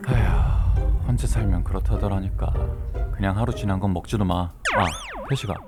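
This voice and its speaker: A man voicing a gruff, fatherly middle-aged department boss in a webtoon audio drama. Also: on the low side, normal speed, natural voice. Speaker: voice actor. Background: background music.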